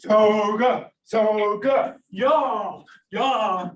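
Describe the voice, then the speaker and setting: A man at a table read voicing Garrett, a joking male high school senior and class clown who hams it up. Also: drawn-out words. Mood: goofy. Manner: loud, goofy, hamming it up. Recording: roomy, from a distance.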